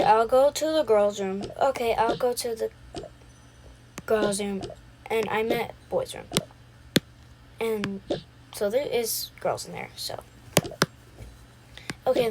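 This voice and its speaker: Slow-paced, high-voiced young woman, noisy recording. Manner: unscripted, halting, with repeated self-talk. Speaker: video creator voicing a roleplay. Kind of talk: improvised roleplay voiceover. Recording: noisy home recording with clicks.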